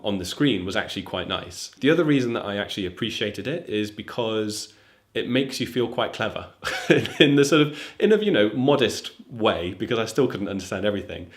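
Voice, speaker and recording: A young man, a native English speaker, casually reviewing a film for German learners, thoughtful and chatty with frequent ums. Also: on the low side. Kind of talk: casual talking-to-camera review.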